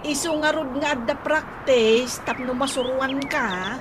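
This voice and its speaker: A practical big sister in an Ilocano comedy radio drama. She advises and nags in a fairly high, Ilocano-accented voice, with clear noise behind her. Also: unhurried. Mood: questioning. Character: older sister, nagging but advising, practical.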